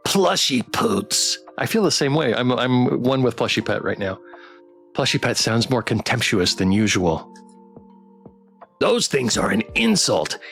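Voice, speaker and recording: A man hosting a casual game livestream, reading text aloud and chatting with playful, expressive reactions. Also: mid-range voice, vocal fry. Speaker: game streamer and host.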